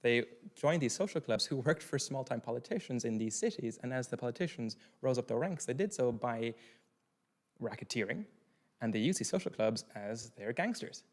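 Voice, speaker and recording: A man's voice: mid-range voice, expressive, normal speed. Young irish-raised guy at a conference, stops and restarts a lot, talks into a desk mic. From Ireland. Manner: thoughtful, restarts often, talks with his hands. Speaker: keynote speaker. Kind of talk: conference talk answering a question. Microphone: seated at a desk mic on a stand.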